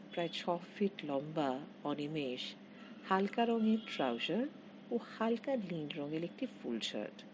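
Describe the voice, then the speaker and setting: A woman narrating a scripted literary short story in measured, expressive phrases with pauses. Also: sits low. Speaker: author reading own short story. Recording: dull lo-fi audio with heavy noise.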